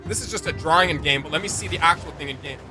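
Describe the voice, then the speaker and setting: A man with a fairly high voice gives casual reaction commentary as a channel host. He is emphatic and slangy, given to exclamations, and loud music plays behind him. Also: rapid-fire.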